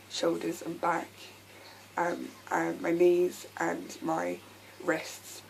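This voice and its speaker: Give me a croaky young woman with a slightly low voice, talking hesitantly to camera over faint hiss. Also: poor audio. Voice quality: croaky from a lasting sore throat. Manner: hesitant, many ums, candid and personal. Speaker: vlogger. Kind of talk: casual talk to camera.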